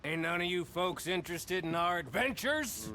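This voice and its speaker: An actor playing a needling, sarcastic American outlaw in a video game, with a sharp, creaky voice. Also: male voice, sits high, lively intonation, normal speed, slight background noise, exaggerated voice. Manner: sarcastic, goading. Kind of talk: dramatic video game acting.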